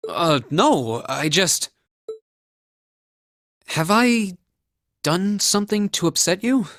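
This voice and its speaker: A man's voice: high-pitched, big pitch swings, slow pace, a bit bright, no room sound, clean studio sound, exaggerated voice. An actor playing an earnest, easygoing young male academy student in a video game, polite and friendly. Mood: apologetic. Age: young adult. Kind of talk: English anime-style game voice acting.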